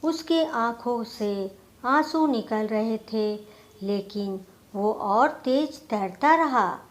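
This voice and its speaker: A woman tells a scripted moral story as its narrator. Her voice sits a little high, and she takes the lines slowly, as a storyteller does.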